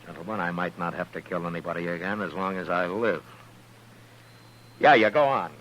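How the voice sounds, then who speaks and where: An actor playing a stern, weary American frontier marshal in a radio western, speaking with dry, sardonic authority. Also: male voice, low-pitched, brisk, a bit bright. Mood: bitter, sardonic. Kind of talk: 1950s radio western acting. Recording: noisy, humming old radio recording.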